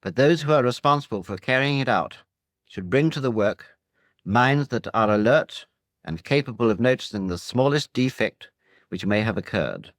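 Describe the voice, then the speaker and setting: A British man narrating a 1940s instructional film in a formal, measured, authoritative way. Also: mid-range voice, expressive, no room sound. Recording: 1940 film soundtrack, digitally cleaned.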